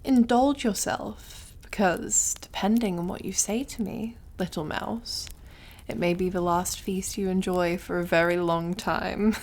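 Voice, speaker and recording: A woman's voice: mid-range voice, fairly even intonation, unhurried, darkish tone, lightly acted. A woman voicing a suave, condescending devil in an ASMR roleplay, drawling and over-polite in a theatrical, taunting way. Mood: silky, menacing courtesy. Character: suave, mocking, condescending devil who deals in soul contracts.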